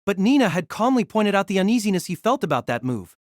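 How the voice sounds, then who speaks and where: A woman narrating a novel in steady storytelling, also voicing the male lead's lines. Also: medium pitch, fast talker, no echo, studio quality.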